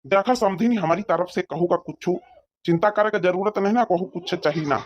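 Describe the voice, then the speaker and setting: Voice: mid-range voice, fairly quick, natural voice. An actor voicing an exasperated village father of the groom in a comedy cartoon, scolding and rambling, on lo-fi audio. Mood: reassuring. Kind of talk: amateur comedy cartoon dialogue.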